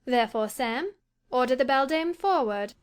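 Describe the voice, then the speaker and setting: A woman's voice: high voice, animated, normal speed, smooth, voice pushed up. A woman voicing a proud, spoiled young lady in a storybook reading, haughty and commanding. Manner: imperious, commanding.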